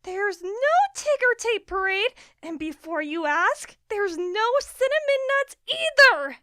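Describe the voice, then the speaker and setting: A woman's voice: very high voice, lively intonation, measured pace, bright, forceful tone, heavily acted. A woman voicing an exasperated, battered female unicorn in a cartoon-style fan audio drama, weary but earnest. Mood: fed up.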